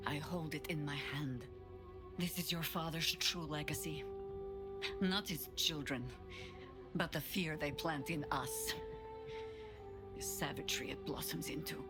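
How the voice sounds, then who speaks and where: A woman's voice: on the low side, lightly acted. A woman playing a solemn, watchful warrior in a video game, grave and probing.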